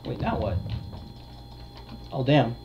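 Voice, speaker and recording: A man's voice: medium pitch, sing-song, takes it slowly, creaky, a little hiss or hum. Man doing casual gaming commentary, slightly dull audio. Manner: talking to viewers, thinking aloud. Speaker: gamer, video host. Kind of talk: casual gameplay commentary of a horror game.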